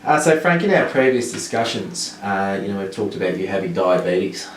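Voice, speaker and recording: A man's voice: sits low, normal speed. A man hosting a casual interview, asking questions in a friendly, conversational way. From Australia. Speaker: interviewer, sleep clinic host. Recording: echoey room, mic at a distance, faint background noise.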